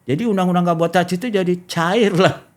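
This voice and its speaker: A man's voice: high-pitched, steady tone, brisk. A middle-aged man, talking casually as a talk show host and explaining step by step. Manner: discursive, explains step by step with history and examples.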